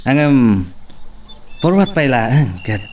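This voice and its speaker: A man's voice: mid-range voice, very animated, takes it slowly, far from the mic, a little hiss or hum. An amateur actor playing a cocky young man who boasts and laughs, dropping English words into his talk. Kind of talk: amateur drama acting.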